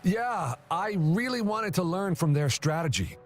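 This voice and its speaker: A man's voice: high-pitched, lively intonation, unhurried, soft background music. A man playing an eager, boyish young relay racer in a video game, bubbly, enthusiastic and easily flustered.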